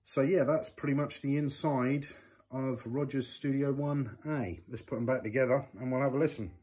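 A man's voice: medium pitch, normal speed. A man in his forties talking casually and knowledgeably as a hi-fi reviewer, filmed in his living room. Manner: knowledgeable, chatty, expressive hand gestures.

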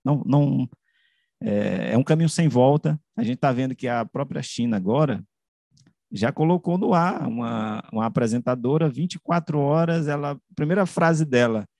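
A man explains in an academic discussion, haltingly, repeating and rephrasing and asking rhetorical questions, close to the microphone. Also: medium pitch, a little mellow, no echo. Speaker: media researcher on a panel. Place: room with bookshelves, on a video call. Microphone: large microphone close to the mouth.

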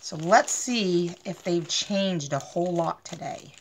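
A woman's voice: lowish voice, slow, slight background noise, poor audio. A middle-aged woman giving young children a simple, step-by-step hands-on lesson as a preschool teacher. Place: carpeted living room at home.

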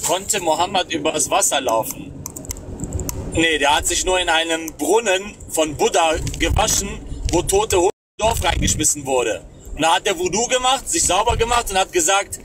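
A man's voice: high voice, lively intonation, normal speed, background noise. A man in his thirties hosting a livestream, talking in a sarcastic, scornful way and reading the chat aloud, recorded close on a phone. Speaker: livestream host. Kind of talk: mocking livestream commentary. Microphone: phone camera close up.

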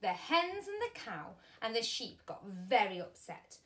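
A woman in her thirties reading a children's story like a primary teacher, expressively and with dramatic pauses. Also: a little high. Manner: animated, deliberate, dramatic pauses. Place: small furnished room at home, indoors.

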